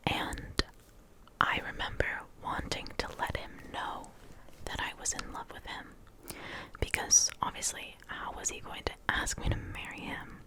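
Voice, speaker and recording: A young woman whose first language was Portuguese, telling a rambling, self-mocking story in a close-miked ASMR whisper. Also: rough recording. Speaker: ASMR creator.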